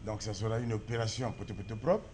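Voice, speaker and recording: A man's voice: lowish voice, fairly even intonation, fast, background noise. A man with a Congolese accent, a guest on a talk show, making a public appeal on behalf of an association. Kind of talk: public appeal in a studio discussion.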